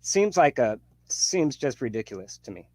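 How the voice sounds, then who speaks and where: A man's voice: mid-range voice, sing-song, moderate pace, darkish tone. A fifty-year-old man debating, casual and rambling, frustrated at being talked over. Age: 50s. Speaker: debate guest. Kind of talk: heated debate.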